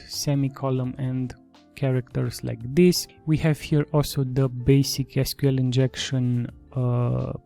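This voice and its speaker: A man with a Romanian accent, a native Romanian speaker, presenting a software tutorial in unscripted, somewhat hesitant English and correcting himself as he goes. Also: mid-range voice, vocal fry. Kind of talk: screen-share tutorial commentary, unscripted.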